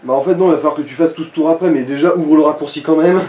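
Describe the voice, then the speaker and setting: A man's voice: a little high, very fast, mellow, dull audio. A man giving casual, explanatory game commentary, guiding a player with coarse slang. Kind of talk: casual let's play commentary. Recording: roomy echo, background noise.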